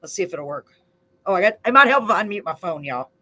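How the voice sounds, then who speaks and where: A woman's voice: fairly low pitch, expressive, fast talker, background noise. A woman hosting a casual live radio chat, talking enthusiastically and repeating phrases for emphasis. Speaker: live radio show host and amateur songwriter. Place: small local radio studio.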